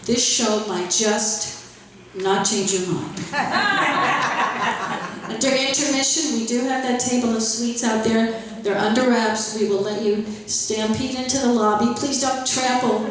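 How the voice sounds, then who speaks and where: A woman's voice: fairly high pitch, a little slow, a little hiss or hum. A woman who directs a library, hosting a live stage show with chatty, self-deprecating patter and plenty of fillers. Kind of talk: casual emcee patter to a live audience. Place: large echoey room with a live audience. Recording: echoey hall from far off. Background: audience murmurs and laughter.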